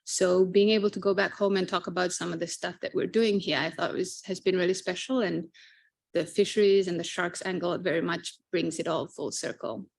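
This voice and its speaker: A woman's voice: on the low side, steady tone, brisk, vocal fry, husky. A woman from Fiji whose first language is Fijian, earnestly telling a personal story on a panel, with frequent "uh" and repeated words.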